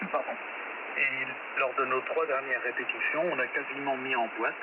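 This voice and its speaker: A man, chatting casually as an amateur radio operator over shortwave, rambling hesitantly with frequent pauses and self-corrections. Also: mid-range voice, fairly even intonation. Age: 70s. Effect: shortwave radio transmission. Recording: narrow-band shortwave radio with loud static.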